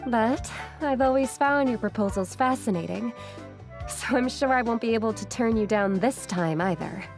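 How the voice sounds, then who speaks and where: Woman acting a composed, fairly high-voiced female scholar. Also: normal speed, a little mellow, lightly acted. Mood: fond. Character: composed, fond, gently chiding young female scholar. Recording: music behind.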